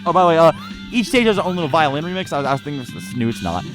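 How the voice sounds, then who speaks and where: Higher-pitched guy rambling over game music. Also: very animated, rapid-fire. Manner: rambling, repeats and corrects himself mid-sentence. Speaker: let's play narrator reviewing gameplay. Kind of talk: casual gameplay commentary. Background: game music under the voice.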